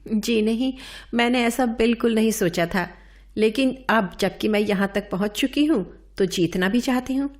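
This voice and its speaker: An actress playing an earnest, thoughtful quiz show contestant in a radio play, speaking in a deliberate, explanatory way. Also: female voice, fairly high pitch, close to an everyday voice. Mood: hopeful. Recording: music bed under the speech. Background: music.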